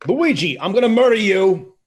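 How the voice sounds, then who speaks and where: A man voicing an excitable, streetwise hustler in a comic script reading, loud and exclamatory. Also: high-pitched, normal speed, voice pushed up. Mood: furious.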